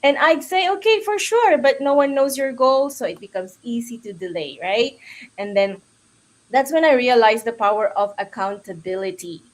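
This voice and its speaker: High-voiced Filipino woman giving a motivational talk. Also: normal speed. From Philippines. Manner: chatty, self-deprecating, engages listeners with questions. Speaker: guest speaker on a livestream.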